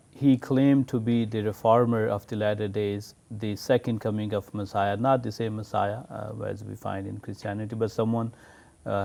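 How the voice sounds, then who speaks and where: Low, flat-voiced man. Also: vocal fry. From Pakistan. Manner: patient, explanatory, measured. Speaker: imam. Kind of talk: interview answers.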